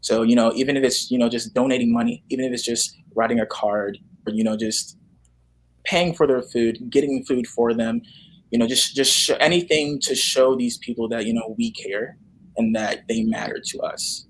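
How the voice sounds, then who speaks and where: A man giving a casual, earnest interview answer, halting and circling back over his phrases. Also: mid-range voice, fairly even intonation, slight background noise, poor audio. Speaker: guest, actor.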